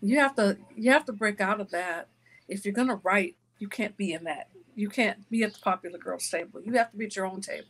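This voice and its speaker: A woman's voice: mid-range voice, a little fast, breathy edge. Emphatic woman author in conversation. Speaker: author and interview guest. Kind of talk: conversational interview answers.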